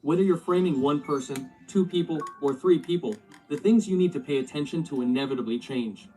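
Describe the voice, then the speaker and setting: A man giving scripted, instructional narration for a drawing-software tutorial, played back from a video. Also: a little high, brisk, soft background music.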